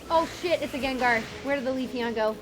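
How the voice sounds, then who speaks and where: Tired-voiced woman game streamer, fairly high, excitable, over clear background music. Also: a little fast, low-quality recording. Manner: excitable, chatty, greets viewers. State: tired, worn-out voice. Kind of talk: casual live-stream commentary.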